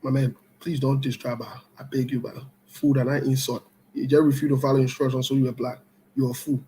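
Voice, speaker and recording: A man hosting an online political talk show, giving live commentary and scolding viewers in a blunt, loose conversational way that swings between loud outbursts and low-key remarks. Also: flat delivery, fairly quick, a little hiss or hum, low-quality recording.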